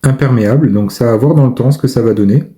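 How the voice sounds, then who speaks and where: A man giving casual product commentary, thinking aloud with hesitant pauses. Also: mid-range voice, mellow. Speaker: amateur video maker reviewing a purchase.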